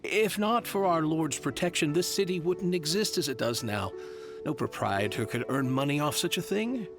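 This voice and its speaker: A man's voice: fairly high pitch, animated, moderate pace, over music. A man playing a polite, sentimental jade merchant in a video game, hesitant and wistful in manner.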